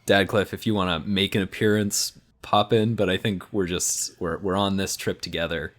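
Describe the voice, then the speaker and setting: Lower-pitched male tabletop player, loosely narrating a scene, feeling his way through each phrase. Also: little pitch movement, moderate pace, a bit bright. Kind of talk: casual improvised scene narration.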